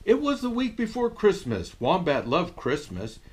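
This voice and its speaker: An older man reads a children's storybook as Santa. His voice sits a little high for a man and swoops widely, with an expressive, jolly delivery. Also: a little slow. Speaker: storyteller dressed as Santa Claus. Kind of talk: animated storybook reading for children.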